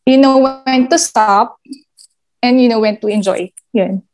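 A Filipino woman answering interview questions on a video call, switching languages and pausing on fillers. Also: on the high side, darkish tone. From Philippines. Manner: code-switching, hesitant with fillers. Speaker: guest, gaming industry supervisor.

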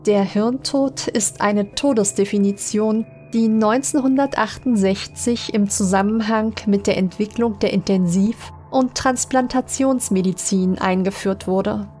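A woman reading scripted horror stories as an audiobook narrator, in a measured, dramatic manner. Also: medium pitch, mellow. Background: music under the voice.

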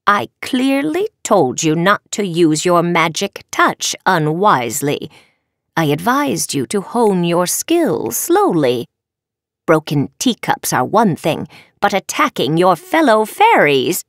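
Stern female witch professor, storybook character, acted by a woman, very slow, formal, admonishing, low-pitched, close dry sound. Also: big pitch swings, dry recording, heavily acted. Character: stern old witch professor, measured and knowing.

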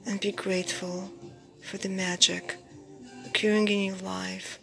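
A woman guiding a meditation in a soft, breathy, near-whispered voice, soothing and unhurried, in short phrases with pauses. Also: medium pitch. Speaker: meditation guide. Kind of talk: guided meditation. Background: background music.

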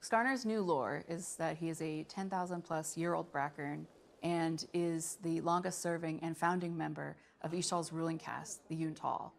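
A woman's voice: sits low, fairly even intonation, moderate pace, gravelly. A woman in her thirties, a writer presenting in a prepared developer video. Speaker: senior game writer.

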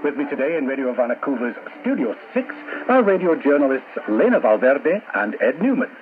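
A man's voice: mid-range voice, very animated, brisk. A man presenting a scripted radio programme over shortwave, formally addressing his colleagues and listeners. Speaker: radio show presenter and executive producer. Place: radio studio, heard over a shortwave receiver. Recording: tinny, static-laden shortwave radio broadcast.

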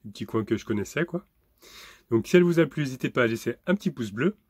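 A man's voice: medium pitch, quick pace, husky, no room sound, studio quality. A man in his thirties from France, chatting casually to his dog and viewers as he walks through town streets, filmed on a handheld phone. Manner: relaxed, chatty, talks to his dog and viewers, frequent hesitations. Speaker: vlogger. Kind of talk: casual walking vlog. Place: outdoors in town streets and a field, then inside a camper van. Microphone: handheld phone camera at arm's length.

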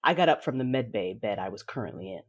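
A woman playing a fierce, grieving warrior mother who narrates her own story in a measured, dramatic audio drama style. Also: very deep voice, lively intonation, slightly rough, full character voice. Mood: solemn, controlled. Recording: voice over a music bed.

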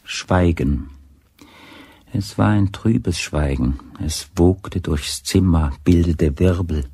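A man narrating a radio drama in a measured, terse manner, with a husky voice. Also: sits low, mellow, no room sound, exaggerated voice.

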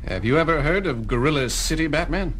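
An actor playing an earnest, anxious captive scientist in a children's audio comic, explaining with urgency. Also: male voice, a little high, lively intonation, a little fast, a bit bright, slight background noise. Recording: music bed under voice.

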